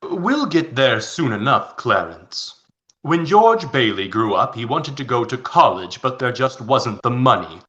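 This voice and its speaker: Animated male radio play narrator. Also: medium pitch, unhurried, muffled recording.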